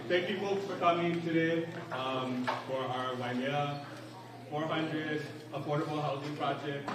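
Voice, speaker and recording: Booming-voiced man from a county agency, casual and apologetic host of a public meeting; distant, echoey hall sound with room noise. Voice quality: loud booming voice. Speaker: county housing agency staffer. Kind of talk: opening a community meeting with a prayer. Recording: echoey hall, far from mic, crowd noise.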